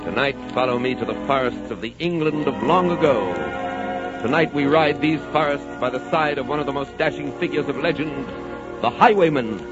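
A man's voice: medium pitch, normal speed, close to an everyday voice. A man playing Adventure itself, a romantic immortal spirit, in a grandiose, theatrical 1940s radio monologue. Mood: grand, seductive. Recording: muffled old 1940s radio recording, music.

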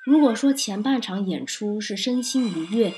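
A woman reads a scripted first-person review for an audio podcast. Her voice sits at a medium pitch. She speaks as a reviewer narrating from a prepared text. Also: lively intonation, moderate pace, very noisy.

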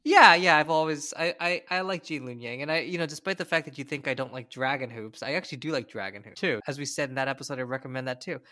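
A man with an American accent chatting as a podcast co-host, often halting and rephrasing himself as he goes. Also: medium pitch, pushed and bright, no room sound, studio quality. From United States. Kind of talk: casual conversational discussion.

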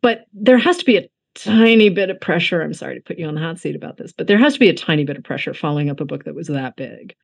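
A woman from the United States hosting a casual book interview, talking informally with animated, self-deprecating asides. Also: low-pitched, quick pace, gravelly, few pauses, husky. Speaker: interviewer, host.

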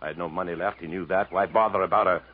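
An actor playing a bitter, sardonic, fatalistic jungle wanderer in 1950s American radio drama style, dramatic and mocking. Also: male voice, low voice, fairly even intonation, quick pace, husky. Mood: bitter defeat. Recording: muffled, noisy 1950s radio recording.

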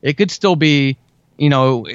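A man's voice: fairly high pitch, a little slow, smooth, no echo. Man in casual interview, dull dry recording. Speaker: podcast guest, writer and audio drama podcaster. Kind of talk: casual interview talk, giving advice. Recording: dull, lo-fi, dry close sound.

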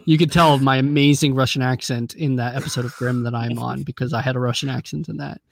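A man's voice: mid-range voice, fairly even intonation, quick pace, vocal fry, no room sound. A man co-hosting a podcast in casual chat, rambling and joking with many fillers and restarts. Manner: rambling, jokey, lots of fillers and self-interruptions. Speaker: podcast co-host and actor.

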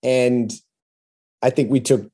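Man, Australian accent, dark mellow voice, casual storyteller, podcast guest, acts out quotes. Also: medium pitch, steady tone, slow, breathy edge.

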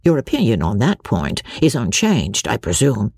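A woman voicing a mild, courteous young gentleman in a story, measured and gently teasing. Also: extremely low pitch, huge pitch swings, husky, no room sound, studio quality, exaggerated voice.

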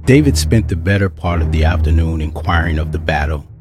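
A man narrating an audio drama in a steady storytelling delivery. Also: extremely low pitch, brisk, music in the background. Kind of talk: scripted narration.

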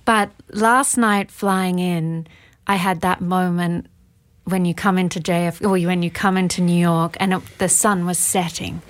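Female podcast interviewer, lower register, informal and personal delivery, dry studio-like sound. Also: fairly even intonation, measured pace, no echo. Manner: informal, personal, loosely phrased. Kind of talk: casual conversational interviewing.

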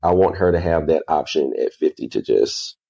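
Deep, mellow man with a dull lo-fi sound. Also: lively intonation, gravelly, husky. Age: 30s. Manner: earnest, with pauses and "uh" fillers. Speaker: podcast guest. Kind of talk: casual interview answers.

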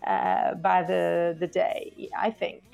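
A woman's voice: fairly low pitch, level tone, vocal fry, darkish tone. A Portuguese woman, whose first language is Portuguese, hosts a live show in English with a Portuguese accent, chatting between songs and often searching for words. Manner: unhurried, searching for words with many fillers. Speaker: radio show host.

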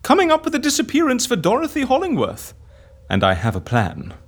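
An actor playing a vain, pompous, excitable schemer in an audio comedy, with a dramatic manner. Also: male voice, very high voice, fairly quick, full character voice. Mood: insistent excitement. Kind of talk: audio comedy fiction acting.

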